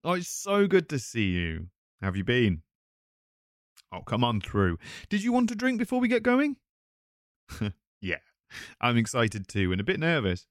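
A man's voice: mid-range voice, wildly swooping pitch, unhurried, pauses often, dry recording, studio quality. A man playing a chatty, nervous voice actor, talking casually to a visitor in a self-conscious, hesitant, easily flustered way. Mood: nervous, welcoming. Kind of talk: casual conversational audio roleplay addressed to listener.

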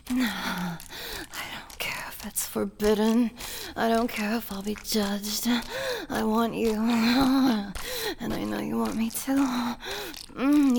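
A woman playing a possessive, adoring elven princess in an intimate adult audio roleplay, breathy and moaning, often dropping into whispers. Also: a little high, background noise, exaggerated voice. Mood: possessive, passionate.

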